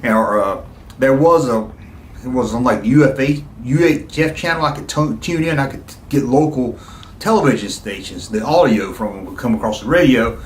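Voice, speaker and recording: Middle aged guy rambling excitedly about old memories, casual vlog, kinda echoey room. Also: medium pitch, moderate pace, a little hiss or hum. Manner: enthusiastic, rambling, conversational. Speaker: YouTube video host. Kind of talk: casual nostalgic reminiscing to viewers.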